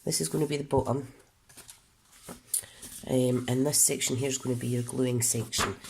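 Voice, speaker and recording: Woman from the UK, very deep voice, gravelly, stuffy nose, noisy recording. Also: fairly even intonation, moderate pace, a little mellow. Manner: chatty, stops to self-correct and explain. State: congested, coughing. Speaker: papercraft demonstrator. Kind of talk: casual craft tutorial.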